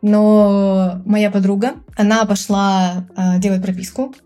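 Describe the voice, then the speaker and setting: A woman chats easily as a podcast guest, in a mid-range voice. She laughs often and fills her pauses with hesitation sounds. She brings the people in her stories to life by acting out their lines. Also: medium pitch, flat delivery, warm tone. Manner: chatty, laughs often, many filler sounds; acts out people's lines in quotes. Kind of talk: casual chat.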